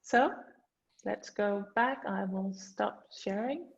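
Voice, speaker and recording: A woman's voice: medium pitch, slow pace, creaky. A woman author with a Danish accent, reading from her book and then thanking people at an online launch, hesitant with many fillers. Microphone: video call. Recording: dull lo-fi video call audio.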